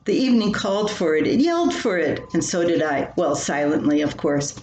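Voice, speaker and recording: A woman's voice: low-pitched, animated, brisk, a little mellow, soft background music. An older woman reading her own humorous personal column aloud, with a wry, dramatic storytelling manner. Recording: roomy echo with clear background noise.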